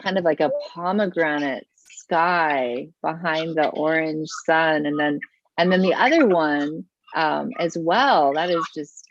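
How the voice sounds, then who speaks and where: A woman's voice: low-pitched, highs cut off, background noise. A woman teaching art over a video call, effusive and full of praise, often restarting her sentences. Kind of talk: warm, gushing teaching chat.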